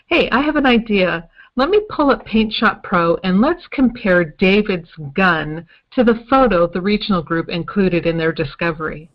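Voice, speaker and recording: Slightly dull, lo-fi audio of a woman acting as a female investigator in an old-time radio style play. She is skeptical and decisive, with a voice a little on the low side. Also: close to an everyday voice. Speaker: investigator in an amateur audio drama.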